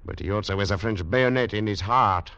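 An actor playing a grave Foreign Legion officer, solemn and dramatic, in an old-time radio drama. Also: male voice, lowish voice, fairly quick, a bit bright, natural voice. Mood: grim, dramatic. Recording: dull vintage radio broadcast, music behind.

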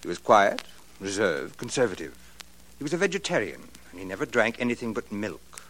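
A man playing a smooth, shifty money manager in a 1940s radio drama, unctuous and theatrical. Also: lowish voice, big pitch swings, brisk, fairly bright tone, low-quality recording. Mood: oily. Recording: old radio recording with faint hiss.

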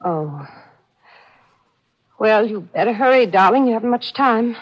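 An anxious female accomplice in a vintage radio thriller. Her voice is fairly high, breathless and earnestly melodramatic, on a muffled old broadcast recording. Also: animated, a little slow, breathy edge. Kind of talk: 1940s radio mystery acting.

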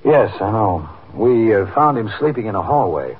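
An actor playing a calm, probing police captain, measured and matter-of-fact, in a 1950s radio drama. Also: male voice, on the low side. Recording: dull, muffled 1950s radio recording.

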